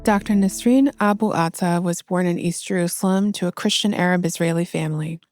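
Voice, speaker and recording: Measured, low-voiced woman podcast host. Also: over music. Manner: measured, formal read. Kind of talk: scripted intro and interview questions.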